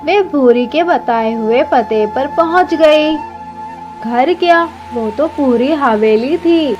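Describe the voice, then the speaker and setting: A woman's voice: high voice, takes it slowly, a little mellow. A woman narrating a scripted cartoon story for children with emotive, melodramatic delivery. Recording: dull lo-fi audio with background music.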